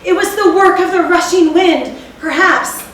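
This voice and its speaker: A woman's voice: extremely high pitch, a little slow, a bit bright. A woman playing a shaken storyteller, recounting horror in a theatrical, emphatic and suspenseful stage reading. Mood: tense dread. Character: shaken gothic storyteller recounting a horror, later giddy victim. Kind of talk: dramatic readers' theatre narration. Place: echoey indoor theatre, live stage reading. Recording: very echoey hall, distant mic, faint noise.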